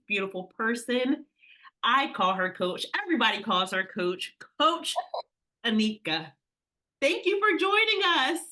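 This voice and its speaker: A woman's voice: a little high, bright, forceful tone. A woman hosting a podcast interview, effusive and gushing with praise for her guest. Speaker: podcast host. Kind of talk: enthusiastic interview.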